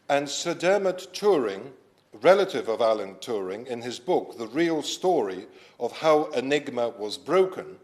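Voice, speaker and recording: Polish-born guy speaking in parliament, measured and formal, average pitch, chamber mic. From Poland. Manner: measured, formal, with occasional self-deprecating asides. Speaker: member of parliament, debate sponsor.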